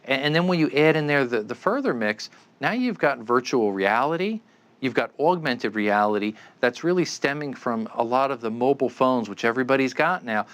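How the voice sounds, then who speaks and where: A man's voice: mid-range voice, animated, moderate pace, a bit bright. A man giving unscripted expert answers in an interview, explaining things with frequent stammered repeats. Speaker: expert guest, commentator.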